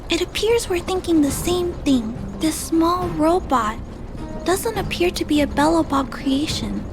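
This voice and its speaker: Little girl, very high voice, slow pace, gentle, hesitant, compassionate, video game character, music behind. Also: full character voice. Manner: hesitant, soft-hearted, thoughtful. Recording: music under the voice.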